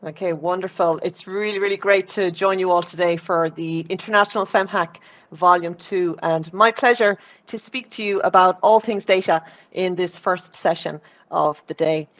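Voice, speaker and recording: An Irish woman in her forties speaks at a virtual conference in a low, personal, conversational tone. Her voice comes through a muffled, lo-fi remote line. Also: fairly even intonation, moderate pace, a bit bright. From Ireland. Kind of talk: conference talk.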